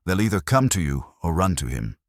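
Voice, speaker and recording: Man playing unflappable male sidekick detective, bass, dry close sound, wry, wisecracking, noir mystery. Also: sing-song, brisk, dry recording. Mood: cool, wry.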